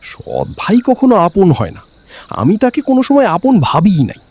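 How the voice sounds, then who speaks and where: A man's voice: sits high, huge pitch swings, moderate pace, a little mellow, husky, full character voice. A man playing a greedy, resentful younger stepbrother in a cartoon, bitter and conspiratorial. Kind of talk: cartoon villain dialogue.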